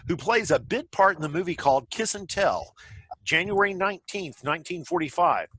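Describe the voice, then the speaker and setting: A man's voice: on the high side, bright, forceful tone, breathy edge, full character voice, poor audio. A man playing a 1940s radio news announcer in an amateur play reading over a video call. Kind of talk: amateur table read of scripted news.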